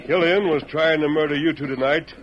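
An American man playing a calm, resolute masked lawman hero in an old radio western, with a crisp, commanding manner. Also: on the high side, a little fast, noisy background, natural voice. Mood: stern, calm. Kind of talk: 1940s radio western acting. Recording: muffled old radio recording.

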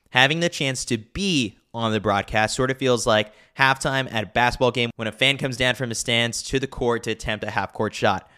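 A man's voice: medium pitch, sharp tone, smooth. A man narrating a scripted sports newsletter in a wry, opinionated way. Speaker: newsletter reader and host.

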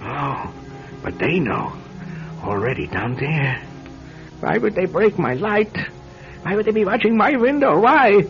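An actor playing a frightened, paranoid man in his seventies in a radio drama, agitated and halting as he mutters. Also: high voice, sing-song, music in the background, heavily acted. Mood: anxious muttering. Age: 70s. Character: frightened, paranoid seventy-year-old pensioner living alone. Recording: dull, noisy old radio recording.

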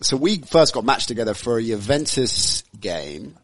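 A man hosting a sports podcast, telling a story in a lively, joking way. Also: mid-range voice, normal speed, no echo. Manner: storytelling, animated and jokey. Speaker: podcast host. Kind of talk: casual sports chat.

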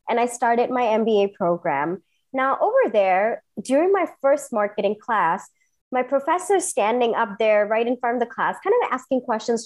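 A woman's voice: sits high, darkish tone. A woman in her thirties from Singapore, telling her career story as an interview guest in an earnest, enthusiastic way. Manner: earnest, enthusiastic, emphatic repetitions. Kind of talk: personal storytelling in conversation.

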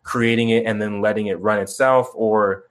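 A young man guesting on a call-in interview, talking casually, rambling and halting, with many restarts and fillers and some swearing. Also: lowish voice, steady tone. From Chicago, grew up in Tucson. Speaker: musician guest. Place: remote video call.

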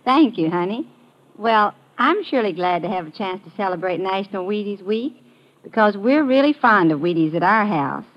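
Woman, Kentucky Southern accent, medium-pitched, scripted wifely testimonial for an old radio commercial. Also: expressive, moderate pace. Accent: Kentucky Southern American. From Kentucky, United States. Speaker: announcer's wife giving testimonial. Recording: dull old radio recording.

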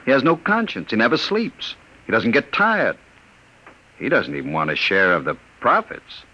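Sharp, sardonic gang boss. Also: male voice, medium pitch, wildly swooping pitch, normal speed, exaggerated voice, low-quality recording. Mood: mocking contempt. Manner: mocking, menacing, commanding. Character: cold, sardonic male gang boss, cruelly taunting. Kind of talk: classic radio crime drama acting.

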